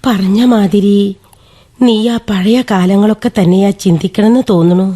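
A woman's voice: medium pitch, normal speed, loud music behind the voice, close to an everyday voice. A woman playing a firm old Kerala aunt. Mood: wry. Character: elderly village aunt, matriarch of the household, firm. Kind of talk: Malayalam radio drama acting.

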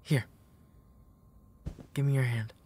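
A man acting a gentle, protective big brother in an intimate comfort roleplay audio, tender and hesitant, with a breathy, soft delivery. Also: mid-range voice, steady tone, slow pace, a little hiss or hum, lightly acted. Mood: tender, caring. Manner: hesitant, tender, soft-spoken, often dropping into breathy whispers.

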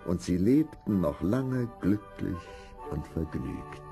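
Deep, gravelly male fairy-tale narrator. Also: lively intonation, slow, over music. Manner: dramatic storytelling.

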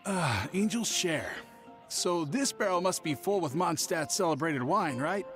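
A man's voice: on the high side, expressive, a little slow, fairly bright tone, faint music behind. A man voicing a nervous, shifty young merchant in a video game, hesitant and evasive. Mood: ingratiating. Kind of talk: scripted video game voice acting.

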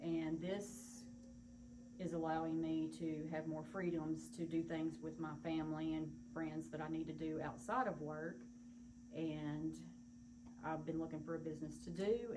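A woman's voice: deep voice, fairly even intonation, moderate pace. Matter-of-fact Southern shop owner woman. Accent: Southern American. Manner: plain, matter-of-fact answers. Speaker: boutique owner. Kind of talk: casual live interview. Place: large indoor clothing boutique. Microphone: phone camera a few steps away. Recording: store hum, audible background noise.

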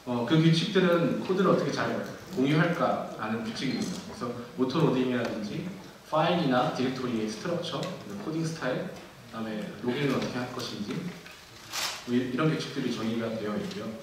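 A young man giving a technical keynote at a conference, speaking in a measured way with frequent fillers and restarts. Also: medium pitch, background noise. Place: large dark auditorium. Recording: echoey hall from far off.

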